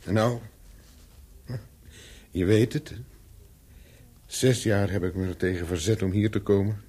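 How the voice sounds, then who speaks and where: An actor playing a lonely, regretful gentleman in an intimate radio play, speaking in a subdued, husky and earnest way. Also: fairly low pitch, measured pace. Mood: lonely, uneasy. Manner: earnest, brooding, uneasy. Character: lonely, regretful upper-class man visiting an old flame.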